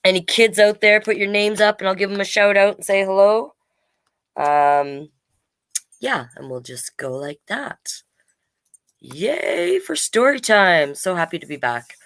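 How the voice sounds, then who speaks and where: A woman's voice: mid-range voice, lively intonation, measured pace, gravelly, a bit bright, no echo. A woman hosting a children's storytime livestream, chatting casually and reading aloud in a playful, rambling way.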